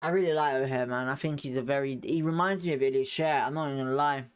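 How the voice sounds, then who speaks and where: A man's voice: mid-range voice, steady tone, fast talker, clean tone. A man hosting a live fan watchalong at home, calling the play and chatting his opinions while hedging them. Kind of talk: live fan commentary over a match.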